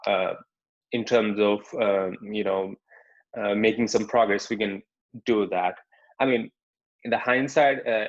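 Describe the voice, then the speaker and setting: A man's voice: fairly low pitch, dull audio. A young man from Pakistan with a Pakistani accent, telling his personal story in a talk with slides, hesitant and full of fillers and restarts. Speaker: speaker giving a talk.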